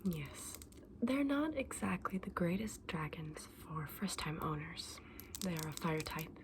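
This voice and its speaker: A woman's voice: fairly low pitch, unhurried. Whispering woman in ASMR roleplay, noisy background. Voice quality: breathy whisper. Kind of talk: whispered ASMR roleplay as a dragon egg saleswoman.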